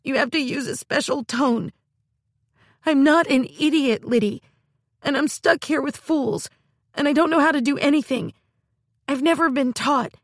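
A woman's voice: moderate pace, long pauses, no room sound, studio quality. A woman voicing a fierce, proud young woman who wails and sobs in a rage in an audiobook. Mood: furious wailing. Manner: shrill, wailing, tearful. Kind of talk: audiobook character voice.

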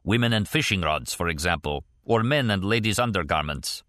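A man's voice: low voice, lively intonation, a little fast, a bit bright, no room sound, studio quality. A man voicing an older Russian defector father in an audiobook, with a Russian accent and formal, carefully precise wording. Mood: proud.

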